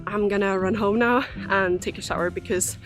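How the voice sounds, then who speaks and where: A woman's voice: medium pitch, fairly even intonation, normal speed, over music. A woman from Austria with an Austrian German accent, chatting outdoors as she runs trails and guides a tour. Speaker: runner guiding a trail tour. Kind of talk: casual walk-and-talk to camera. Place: outdoors, forest trails and park.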